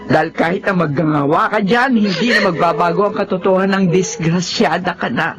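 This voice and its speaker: A woman's voice: lowish voice, fairly quick, close to an everyday voice. A woman with a Filipino accent playing a worried, exasperated mother who scolds emphatically in a radio drama. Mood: exasperated, resigned.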